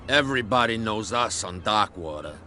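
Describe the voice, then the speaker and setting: An actor playing a surly male bootlegger thug in a video game, mocking and often hushed. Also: fairly low pitch, expressive, moderate pace, a little hiss or hum. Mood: menacing.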